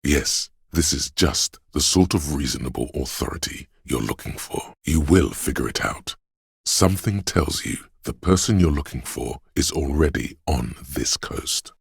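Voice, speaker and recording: An actor playing a grave, compassionate inner voice of empathy in a game, narrating in a measured, solemn and tender way. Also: male voice, extremely low pitch, expressive, vocal fry, breathy edge, no echo, clean studio sound, exaggerated voice. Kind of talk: dramatic game narration, second person.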